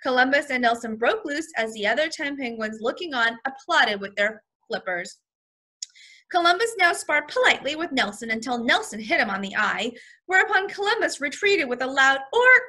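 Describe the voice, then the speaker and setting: Lady teacher reading a kids book out loud, kinda high bright voice, explains stuff simply. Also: animated, normal speed. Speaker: first grade teacher. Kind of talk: storybook read-aloud with vocabulary explanations.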